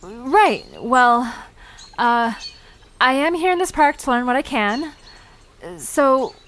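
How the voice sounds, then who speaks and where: Actress as flustered young woman, high-pitched, curious, nervous, stammering, expressive, audio drama. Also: slow pace, heavily acted. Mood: awkward, curious.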